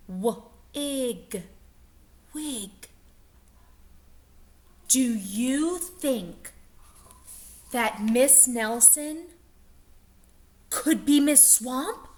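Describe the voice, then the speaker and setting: A woman reading aloud to young children as a teacher, expressively, pausing to explain and ask them questions. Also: high-pitched, expressive.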